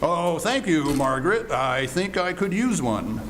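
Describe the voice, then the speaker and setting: An older amateur actor playing a mild, hesitant, henpecked husband in an old-time radio comedy. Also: male voice, a little high, big pitch swings, background noise. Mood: agreeable. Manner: halting. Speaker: amateur actor in a senior theater class.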